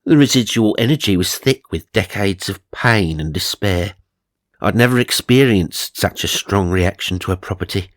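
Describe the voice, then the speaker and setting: A man's voice: low voice, moderate pace, no echo, clean studio sound. A man narrating supernatural magazine stories for comedy, mock-serious and dramatic, with wry asides. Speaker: narrator and host.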